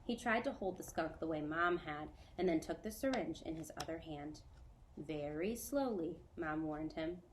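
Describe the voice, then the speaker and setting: A woman teacher reading a children's novel aloud to a student, clear, measured and gentle. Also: low-pitched, slight background noise.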